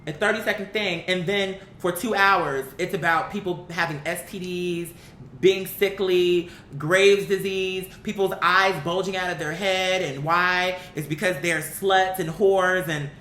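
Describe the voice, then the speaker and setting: A woman with an American accent and a slightly low voice talks straight to her audience in opinionated commentary. She is emphatic and slangy, pressing points with rhetorical questions. The room echoes around her, with a faint rumble of noise underneath. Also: fairly even intonation, a little slow, a bit bright. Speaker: vlogger, commentary host. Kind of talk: opinionated casual commentary to viewers.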